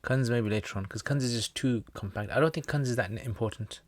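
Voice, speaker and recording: A man's voice: medium pitch, fast, no room sound. A man teaching and hosting a livestream, chatting casually with viewers in a jokey, rambling way with slangy asides. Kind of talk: casual livestream chat, answering viewer comments.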